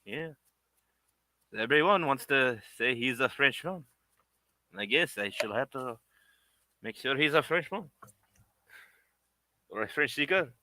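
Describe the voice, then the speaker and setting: A man making puppets on a casual livestream, muttering quietly to himself as he works at his bench. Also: mid-range voice, animated, unhurried, pauses often. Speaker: puppet maker streaming his work. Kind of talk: casual livestream chat while crafting. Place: home work bench.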